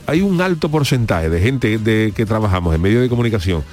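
A man's voice: lowish voice, a little fast, faint music behind. A man with an Andalusian accent from Cádiz, talking with passion as a guest on a radio chat show. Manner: animated, emphatic, argues with passion. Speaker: guest commentator.

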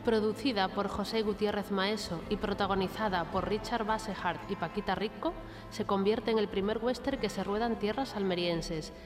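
A woman's voice: medium pitch, fairly even intonation, normal speed, long reverb tail, over music. A woman presenter giving scripted, emphatic narration on a radio show.

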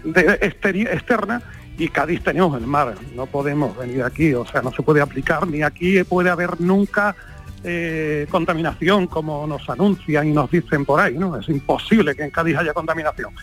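Rambling high-voiced male caller. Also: moderate pace, fairly bright tone, faint music behind. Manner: rambling, talks at length. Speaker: caller, hotel owner. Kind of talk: phone-in chat.

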